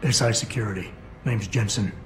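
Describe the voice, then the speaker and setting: An actor playing a terse, brooding corporate security chief in a video game, speaking in a clipped deadpan. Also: male voice, lowish voice, fairly quick, a little hiss or hum, lightly acted. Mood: curt, businesslike. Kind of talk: video game cutscene acting. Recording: roomy echo, faint music behind.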